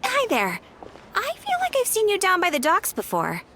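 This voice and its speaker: A woman's voice: extremely high pitch, wildly swooping pitch, moderate pace, background noise, full character voice. A woman voicing a polite, poised guild receptionist in a video game, speaking formally.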